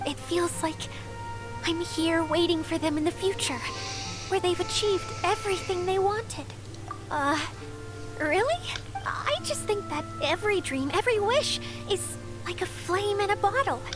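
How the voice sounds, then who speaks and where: A woman voicing a gentle, wistful water fairy in a video game, reflective and soft-hearted as she tells her story. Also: very high voice, animated, unhurried. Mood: tender, hopeful. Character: gentle, wistful female water fairy, lonely but content. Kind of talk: scripted video game voice acting. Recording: game music behind the voice.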